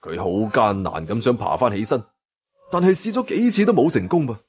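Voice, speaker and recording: A man's voice: medium pitch, a little fast, husky, highs cut off. A man with a Hong Kong Cantonese accent narrating a story dramatically, acting out the dialogue as he goes. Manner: animated, acts out dialogue.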